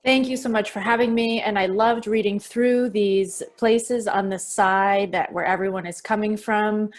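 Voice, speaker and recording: A woman's voice: mid-range voice, steady tone, a little slow. A woman in her thirties or forties giving a webinar talk over a video call, chatty and enthusiastic, speaking directly to the attendees. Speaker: burnout speaker presenting a webinar. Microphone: computer mic on a video call.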